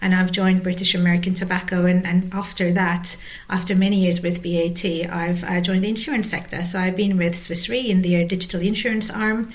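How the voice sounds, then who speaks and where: A woman's voice: fairly low pitch, level tone, a little fast. Woman in her forties, interview guest. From Turkey. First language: Turkish. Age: 40s. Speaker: interview guest, technology executive. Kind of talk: conversational interview about career.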